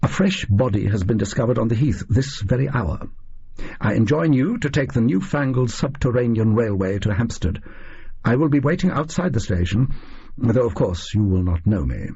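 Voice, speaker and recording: Male reader as smug gentleman detective for a storybook: formal, precise, very husky, expressive, slightly dull recording. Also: mid-range voice. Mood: grave, pompous. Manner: smug, formal, deliberate diction. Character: conceited, precise male Victorian detective, theatrically mysterious.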